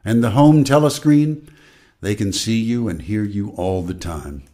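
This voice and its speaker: An actor playing a grave, knowing voice in a radio drama, speaking deliberately and theatrically. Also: male voice, fairly low pitch, dark tone, close to an everyday voice. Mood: needling, insinuating. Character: grave narrator and needling, knowing inner voice. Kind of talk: dramatic radio play narration and acting.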